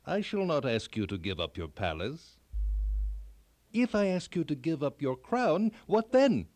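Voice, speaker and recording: A man voicing a cunning, gently commanding little old elf in a children's fairy tale, with measured, deliberate speech. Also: a little high, big pitch swings, dry recording. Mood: solemn, testing. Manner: measured, deliberate, solemn questioning.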